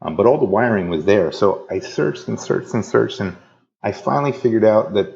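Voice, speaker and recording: A man with a low voice who is a guest on a podcast, recorded with dull, lo-fi audio. He tells his story eagerly and laughs easily as he explains things. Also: lively intonation, normal speed, vocal fry, a little mellow. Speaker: interview guest. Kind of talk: casual interview chat.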